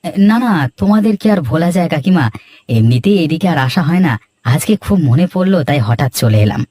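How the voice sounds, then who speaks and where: A man's voice: on the high side, expressive, a little fast, warm tone, dry recording, studio quality, close to an everyday voice. A man voicing an idle, carefree, argumentative village son with a grumbling, dismissive manner in a cartoon. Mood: friendly, familiar. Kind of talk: Bengali cartoon dialogue.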